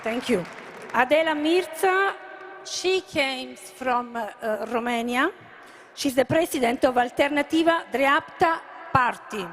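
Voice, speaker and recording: A woman with an Italian accent moderating a live conference panel in halting, hesitant English with repeated words. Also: sits high, a bit bright, faint music behind, a faint crowd. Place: conference venue. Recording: faint live venue background noise.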